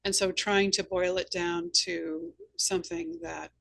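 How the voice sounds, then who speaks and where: A woman hosting a conversational interview among authors, speaking in a thoughtful, measured way. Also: fairly low pitch, flat delivery, creaky, fairly bright tone. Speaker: host and author.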